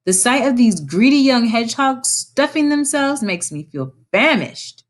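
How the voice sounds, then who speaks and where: A woman's voice: fairly high pitch, sing-song, unhurried, voice pushed up. Woman playing a breezy, hearty otter. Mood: hearty, bold. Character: hearty, confident male otter, bold and hungry.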